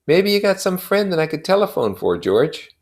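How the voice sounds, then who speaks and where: An anxious, well-meaning male friend from a novel, played by a man reading aloud. He coaxes and pleads with gentle insistence. His pitch sits far up and swings about freely. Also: very animated, moderate pace. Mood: anxious, helpful.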